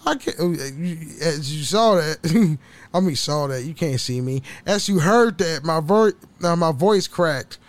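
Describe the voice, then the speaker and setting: A man hosting a casual solo podcast, rambling and swearing with frequent laughs, his voice a little hoarse. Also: a little high, animated, a little slow, no room sound. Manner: rambling, profane, laughs often. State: slightly hoarse from waking up. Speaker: podcast host.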